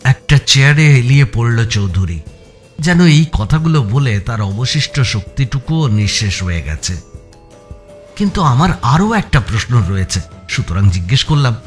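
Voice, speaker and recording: A man playing a curious first-person narrator in a thriller audiobook, telling it with expressive suspense. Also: medium pitch, moderate pace, soft background music, natural voice. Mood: suspenseful.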